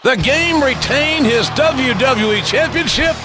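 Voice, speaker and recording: A man giving emphatic, shouted recaps as a wrestling commentator. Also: extremely high pitch, expressive, measured pace. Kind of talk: scripted sports commentary. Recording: game audio over loud music.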